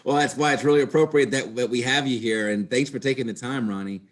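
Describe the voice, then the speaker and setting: A man's voice: mid-range voice, quick pace. A man interviewing a guest conversationally, rephrasing his questions as he rambles along. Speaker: podcast host, interviewer.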